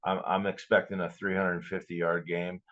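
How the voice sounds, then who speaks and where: A man chatting casually about sports as a podcast co-host, blunt and halting, often repeating himself. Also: deep voice, little pitch movement, highs cut off, background noise.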